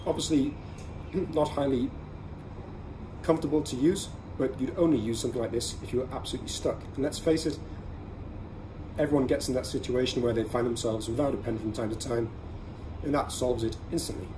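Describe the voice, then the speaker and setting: A man hosting a casual product review, explaining things conversationally in short, measured phrases. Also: mid-range voice. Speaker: podcast host reviewing everyday carry products. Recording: clearly audible ambient background noise.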